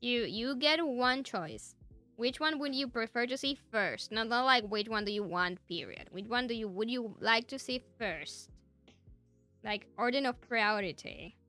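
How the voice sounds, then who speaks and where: Lady streaming, chatty and giggly, bright lively voice, bit of music behind her. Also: on the high side, unhurried. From Argentina. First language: Spanish. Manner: giggly, laughs often between phrases. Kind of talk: casual chat with live chat viewers.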